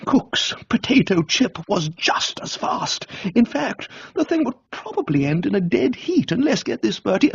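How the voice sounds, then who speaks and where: A man voicing a brash, excitable middle-aged English aunt, gushing and bossy, in a comic radio book reading. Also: very high-pitched, gravelly, slightly rough, dry recording, muffled recording, pitched above the performer's own voice, heavily acted.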